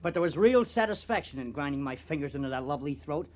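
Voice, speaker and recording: An actor in an old-time radio crime drama playing a bitter, sardonic newspaper artist who has turned murderer. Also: male voice, fairly high pitch, expressive, fast talker, close to an everyday voice. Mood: bitter, confessing. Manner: sardonic, menacing. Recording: muffled vintage radio recording.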